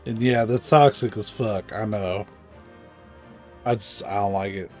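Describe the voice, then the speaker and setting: A man's voice: fairly low pitch, fairly even intonation, unhurried, a little mellow. A man streaming a video game with casual, blunt, sweary commentary. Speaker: gameplay streamer. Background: game music.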